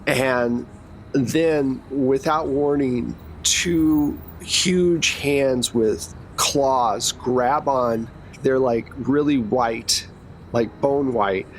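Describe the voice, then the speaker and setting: A man's voice: mid-range voice, extremely slow, slight background noise, poor audio. A man narrating a tabletop horror game as game master, with informal, descriptive storytelling.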